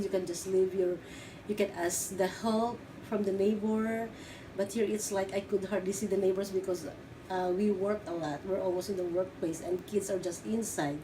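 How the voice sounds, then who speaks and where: Brief: middle-aged woman from the Philippines, hesitant interview answers, recorded from a distance with clear background noise. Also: medium pitch. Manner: halting, many fillers and restarts. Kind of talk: oral history interview.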